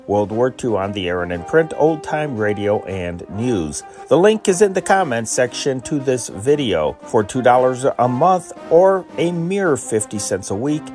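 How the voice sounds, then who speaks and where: A man's voice: mid-range voice, a little mellow. An American man hosting an old-time radio programme, introducing it in an informal, prepared style. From Chicago area, United States.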